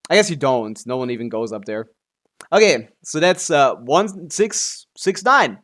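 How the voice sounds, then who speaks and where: A man's voice: fairly high pitch, sing-song, measured pace, a bit bright. A man giving casual, offhand live commentary as a game streamer, muttering to himself and swearing freely.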